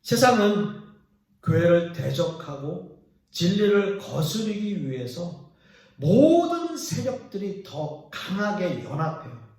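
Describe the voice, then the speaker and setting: A man preaching a sermon to his congregation as their pastor, earnest, with pauses, his lines swinging between raised and hushed. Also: high voice. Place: church sanctuary. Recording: echoey church hall from a distance.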